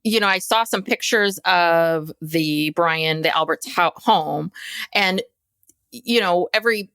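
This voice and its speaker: A woman's voice: on the low side, slow pace, a bit bright, smooth, no echo, studio quality. A woman co-hosting a podcast, reacting and commenting in casual conversation. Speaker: podcast co-host.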